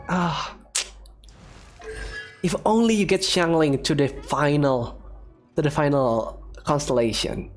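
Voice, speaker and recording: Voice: medium pitch, darkish tone. A video game streamer giving casual, chatty commentary to viewers, rambling and thinking aloud. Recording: game music behind the voice. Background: video game music.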